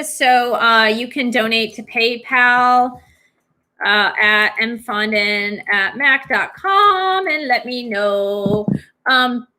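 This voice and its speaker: A woman in her forties hosting a live Q&A from a small room, chatty and animated as she answers viewers, her voice a bit worn. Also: on the high side. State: losing her voice. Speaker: livestream host and twin flame reader.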